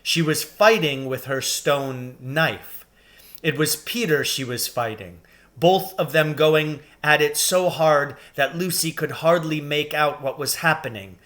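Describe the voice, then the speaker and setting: Guy narrating a kids storybook, really slow and deliberate, dramatic phrasing, voice a bit on the high side. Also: a bit bright. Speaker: reader, teacher reading aloud. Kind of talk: expressive children's storybook narration.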